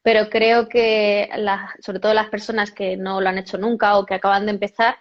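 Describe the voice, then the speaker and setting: A woman's voice: mid-range voice, little pitch movement, normal speed. A woman, a yoga cartoonist, chatting casually as an interview guest, correcting herself often and laughing now and then. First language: Spanish. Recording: dull lo-fi audio.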